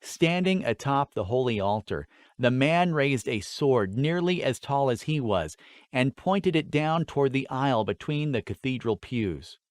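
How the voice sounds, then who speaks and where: A man's voice: medium pitch, expressive, a little slow, no room sound, clean studio sound. A man reading a scripted fantasy story as an audiobook narrator, with an earnest, dramatic delivery.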